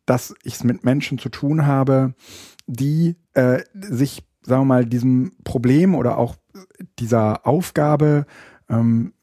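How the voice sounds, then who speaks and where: Husky, mellow man chatting hesitantly. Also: medium pitch, dry recording, studio quality. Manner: hesitant, many fillers and restarts; rambling, thinks aloud. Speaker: podcast co-host. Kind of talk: casual chat.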